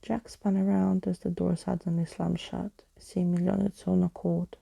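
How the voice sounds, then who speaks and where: A woman reading a children's story aloud in a soft ASMR style, often dropping into whispers. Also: on the low side, flat delivery, moderate pace, vocal fry, breathy edge, no echo.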